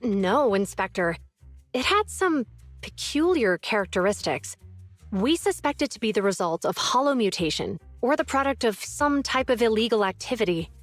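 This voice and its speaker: Game role: a brisk, dutiful young policewoman, lively pitch. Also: no echo, faint music behind. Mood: earnest. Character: earnest, dutiful young female police officer. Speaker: public security officer, Zhu Yuan.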